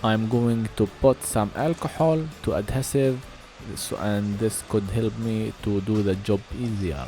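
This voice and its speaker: A man giving an informal voice-over for a phone repair, speaking halting, non-native English. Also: on the low side, fairly even intonation, mellow, soft background music. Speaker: phone repair video host.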